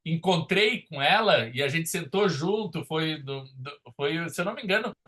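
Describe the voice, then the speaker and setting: A man's voice: on the high side, lively intonation, moderate pace, bright, forceful tone. A man with a southern Brazilian accent in a casual, opinionated film discussion, telling stories in an emphatic, colloquial way as a podcast host.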